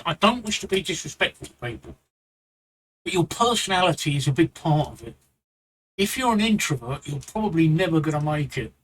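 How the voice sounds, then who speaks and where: Man, London accent, high-pitched, husky, blunt, repetitive, live streamer talking to chat. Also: a bit bright, low-quality recording. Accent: London English. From England. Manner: blunt, repeats himself, rambling asides. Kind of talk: live stream advice monologue.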